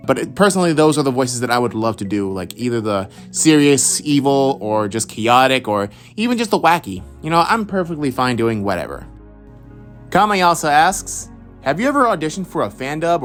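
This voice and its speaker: A man's voice: medium pitch, fairly bright tone. A young man from Houston answering follower questions about voice acting in a loose, rambling chat, full of fillers and restarts. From Houston, Texas. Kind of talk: casual Q&A monologue.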